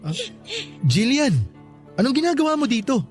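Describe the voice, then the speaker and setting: A man's voice: very high-pitched, big pitch swings, moderate pace, soft background music. An actor playing an affectionate, grateful boyfriend and young father in a radio drama. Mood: surprised, awkward. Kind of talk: Tagalog radio drama acting.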